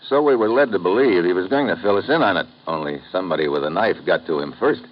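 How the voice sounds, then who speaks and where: A man's voice: low voice, expressive, fast talker. An actor playing a tough American agent in a 1950s radio drama, narrating his case in a clipped, dry manner. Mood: terse. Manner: clipped, dry, hard-boiled. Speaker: lead and narrator. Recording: dull old radio broadcast with music.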